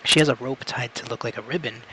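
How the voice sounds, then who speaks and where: A man's voice: medium pitch, fast talker, bright, forceful tone, a little hiss or hum, poor audio. A man chatting casually as a co-commentator on a game stream. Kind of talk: casual chat.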